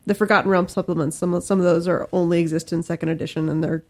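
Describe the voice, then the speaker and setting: A woman's voice: on the low side, steady tone, racing. A woman co-hosting a podcast, chatting casually and conversationally. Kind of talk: casual chat.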